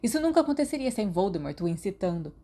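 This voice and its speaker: Woman doing a cocky young wizard guy, loud scoffing, brazilian accent. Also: medium pitch, very animated, fast talker. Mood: scornful. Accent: Brazilian Portuguese. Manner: scoffing, forceful. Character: scornful young male wizard, bold.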